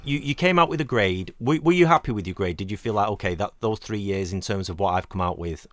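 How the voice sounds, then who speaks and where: A man hosting a podcast, asking measured, supportive questions. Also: lowish voice, a bit bright, no room sound, clean studio sound. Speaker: podcast host, interviewer. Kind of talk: interview.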